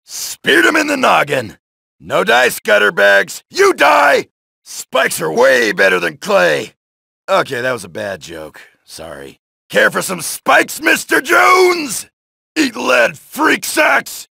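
A gravelly, shouting zombie-killing soldier. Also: male voice, high-pitched, wildly swooping pitch, takes it slowly, no room sound, exaggerated voice. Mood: taunting. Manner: shouted taunts and one-liners. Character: brash, wisecracking male soldier blasting zombies. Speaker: video game soldier character. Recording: dry studio voice lines.

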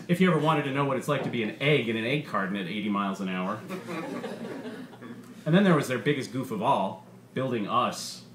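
A man's voice: medium pitch, lightly acted. A man voicing a bitter, sardonic robot stand-up comedian with a profane, punchy, menacing delivery. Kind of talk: stand-up comedy routine in a short story reading. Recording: roomy bar with faint background noise.

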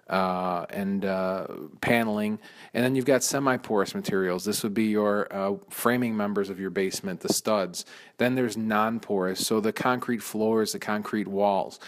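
A man, a mold removal contractor walking through a job and explaining the work step by step, with frequent pauses and restarts. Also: fairly low pitch. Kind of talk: informal walkthrough narration.

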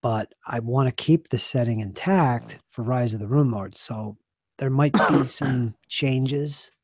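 A hoarse man in his forties, a game master losing his voice, chatting casually and earnestly on a live stream from a small home study into a desk microphone. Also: mid-range voice, unhurried, dry recording, studio quality. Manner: rambling, earnest, trailing off quietly. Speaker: game master and channel host.

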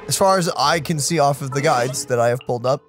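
A man's voice: mid-range voice, fast talker. A man streaming a video game, chatting casually and exclaiming in an easygoing way. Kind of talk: casual let's play chat. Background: faint game music.

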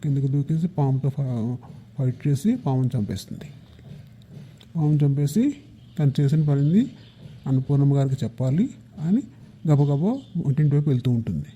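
A man's voice: medium pitch, lively intonation, moderate pace, long pauses, mellow, husky. A man telling a moral story informally, explaining it conversationally to young listeners as their teacher. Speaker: storyteller speaking as a teacher to children. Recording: muffled, dull lo-fi audio.

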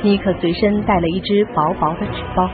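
A woman's voice: medium pitch, fairly even intonation, a little fast. A woman reading scripted narration for a radio drama. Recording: muffled phone-like radio recording. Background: music.

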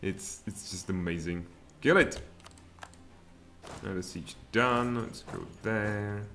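A man's voice: on the low side, slow pace, a little hiss or hum. Czech man muttering through a strategy game. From Czech Republic. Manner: animated, muttering asides, sudden exclamations. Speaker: game streamer. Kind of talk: live strategy game commentary. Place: home room, indoors.

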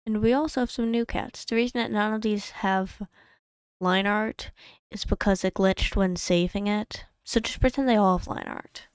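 Woman creator, mid-pitched and smooth, dry close desk mic, chatty rambling voice-over with many restarts. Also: steady tone, no echo. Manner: rambling, self-correcting, quick asides. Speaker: YouTube creator. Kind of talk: casual voice-over narration of a game playthrough. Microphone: home desk mic.

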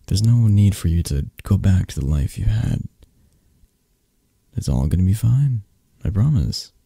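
Man acting a low gravelly, possessive mafia boss. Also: no echo, full character voice.

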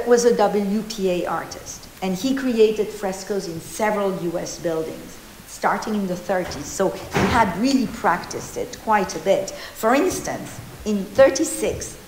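A woman in her fifties from Argentina gives an informal art history talk, animated and gesturing, with many restarts and fillers, recorded by a distant camera in an old meeting house. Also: medium pitch, a little hiss or hum. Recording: roomy hall echo.

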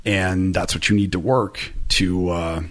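A man's voice: low voice, fairly even intonation, unhurried, a bit bright. A man in his forties talking candidly as a podcast guest, rambling with lots of fillers and self-deprecating asides, close to a studio mic in a small room. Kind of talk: candid conversational interview. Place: small room with bright orange shelves. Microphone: boom-arm studio mic close to mouth.

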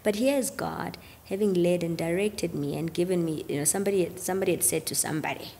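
A woman's voice: fairly low pitch, quick pace, vocal fry, dark tone, big-room sound, slight background noise. A woman in her thirties, a gospel singer, talking earnestly about faith on a podcast and often dropping to a near-whisper. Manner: earnest, drops to near-whisper on key points. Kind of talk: reflective faith conversation. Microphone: studio mic on boom arm.

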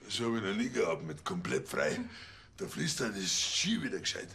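A gruff, stingy cop with a very deep, gravelly voice. He talks bluntly and grumbles about money in a TV crime comedy. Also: sing-song, moderate pace, fairly bright tone, exaggerated voice. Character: gruff, stingy, hypochondriac male Bavarian cop.

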